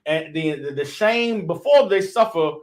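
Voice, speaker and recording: A man's voice: fairly high pitch, expressive, unhurried. A man giving loose, impassioned religious commentary, full of "you know what I'm saying" as his intensity builds. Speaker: member of the group adding commentary.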